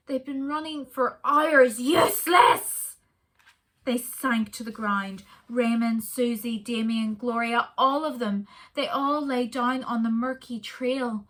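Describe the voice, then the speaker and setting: A woman narrates a children's story expressively. Her voice sits fairly high, and she takes her time, shaping phrases dramatically with deliberate pauses. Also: fairly bright tone.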